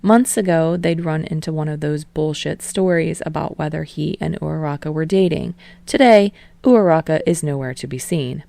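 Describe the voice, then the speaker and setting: A woman reading fan fiction aloud, her voice somewhat low with plenty of vocal fry. The narration is casual and even, on a dry, close recording. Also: normal speed, no echo. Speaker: podfic reader.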